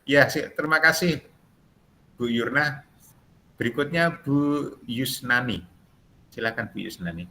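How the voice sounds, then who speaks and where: Javanese-accent guy running an online class, bright tense voice, jokey and chatty, bit of background hiss. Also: mid-range voice, a little slow, long pauses. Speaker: course facilitator. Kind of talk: joking online class chat. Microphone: video call.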